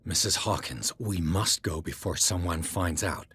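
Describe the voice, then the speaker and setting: A man acting as a determined, gruff detective in a video game, with a terse, urgent, questioning manner. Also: low-pitched, measured pace, fairly bright tone, full character voice. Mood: urgent, insistent. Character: gruff, determined male detective protagonist on a rescue.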